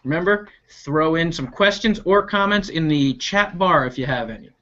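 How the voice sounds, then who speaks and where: A man's voice: a little high, animated, normal speed, bright, forceful tone. A man hosting a children's read-aloud over a video call, upbeat and chatty, explaining things directly to his viewers. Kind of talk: expressive storybook read-aloud with chatty asides. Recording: dull lo-fi online video call.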